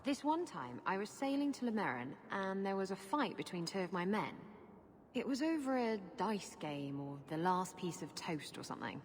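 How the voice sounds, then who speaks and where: A woman's voice: mid-range voice, normal speed, close to an everyday voice. A woman plays a cheeky seafarer in a fantasy game. Her voice swings up and down freely as she spins casual, playful tales. It sits in long reverb with faint noise behind it. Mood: playful, storytelling. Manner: animated, casual, playful storytelling. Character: breezy, roguish seafaring woman spinning yarns. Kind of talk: dramatic video game acting.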